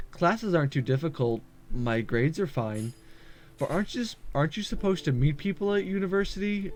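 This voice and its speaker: A man's voice: medium pitch, darkish tone, close to an everyday voice. A man voicing a lonely, homesick university student in introspective, polite visual novel narration. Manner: introspective first-person narration, polite and subdued. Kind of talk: visual novel read-aloud. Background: music.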